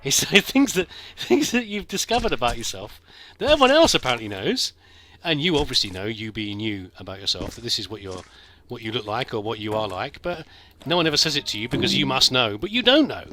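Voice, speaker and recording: A middle-aged British man with a soft accent, telling a rambling, self-deprecating story over gameplay, full of stops and restarts. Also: medium pitch, very animated, fairly quick, a little hiss or hum. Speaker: gameplay commentator.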